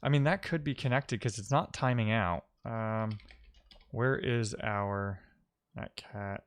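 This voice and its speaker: Male tech streamer, lowish smooth voice, casual, mutters through problems with dry playful asides. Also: slow pace. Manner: muttering to himself while troubleshooting. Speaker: live coding streamer. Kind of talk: casual thinking-aloud tech commentary.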